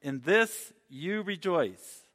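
A man raised in Mexico preaching a sermon in an earnest, measured way, often restarting his phrases. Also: high-pitched, animated, fairly bright tone, big-room sound.

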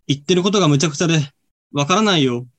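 Radio drama friend: male actor as earnest, unsure young man, fairly high register, natural amateur delivery. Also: animated, racing, a bit bright. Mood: anguished, hesitant. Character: anguished, hesitant young man, loyal friend.

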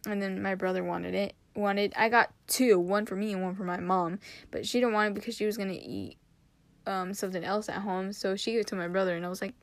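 Rambling raspy-voiced young woman, dry close sound. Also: medium pitch, fairly even intonation, fairly quick, no echo. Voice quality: raspy, tired voice. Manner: rambling, filler-heavy, giggly. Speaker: podcast host. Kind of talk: casual solo podcast chat.

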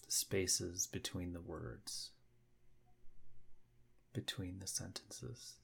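A man leading a guided meditation, speaking gently and unhurriedly in short phrases with long pauses. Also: deep voice, gravelly, slightly rough.

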